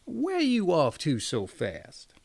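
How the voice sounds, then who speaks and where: A man's voice: a little high, wildly swooping pitch, slow pace, creaky, a little mellow, exaggerated voice. A man voicing a shrewd, blunt egg merchant in a storybook, with a knowing, conspiratorial manner. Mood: friendly.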